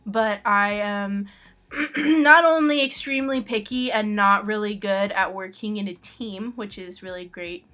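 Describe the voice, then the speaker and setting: A woman's voice: medium pitch, pushed and bright. A woman chatting casually as a channel host, giggly, rambling and self-deprecating. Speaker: webcomic artist and channel host. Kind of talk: casual chat with sister, answering questions. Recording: music under the voice. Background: background music.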